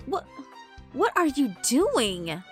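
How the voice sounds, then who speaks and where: A woman's voice: high-pitched, wildly swooping pitch, very slow, soft background music, voice pushed up, exaggerated voice. A woman voicing a timid, well-meaning girl who muses to herself hesitantly in a visual novel. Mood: worried.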